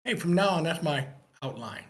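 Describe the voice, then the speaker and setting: A man with a notably high voice gives informal critique in an online meeting. His phrasing is measured and appreciative. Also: lively intonation. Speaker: participant giving script feedback.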